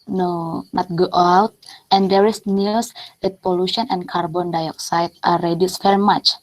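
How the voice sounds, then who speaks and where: Young female participant, Indonesian accent, careful non-native delivery, lowish pitch, online discussion question. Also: a little mellow, low-quality recording. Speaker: audience member asking a question. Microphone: video call.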